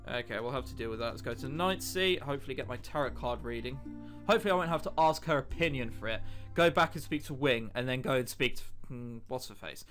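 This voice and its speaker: Young let's-player, casual and foul-mouthed, animated delivery. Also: male voice, mid-range voice. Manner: casual, swears freely, dry asides. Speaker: gaming video host. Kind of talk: let's play commentary, reading dialogue aloud.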